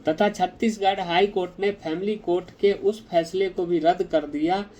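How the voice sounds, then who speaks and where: A man explaining a legal ruling to viewers in a direct, deliberate, explanatory way. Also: high-pitched, steady tone, darkish tone.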